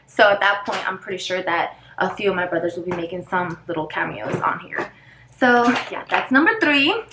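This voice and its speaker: A woman's voice: medium pitch, a bit bright, roomy sound, slight background noise, low-quality recording. A woman in her thirties whose first language is Spanish, chatting casually in a get-ready-with-me vlog while she does her makeup, rambling and losing her place now and then. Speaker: vlogger.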